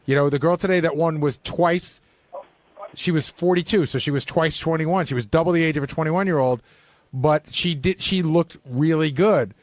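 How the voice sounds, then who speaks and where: A man's voice: a little high, moderate pace, dry recording. A man chatting casually as a regular on a radio show. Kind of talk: casual radio wrap-up banter.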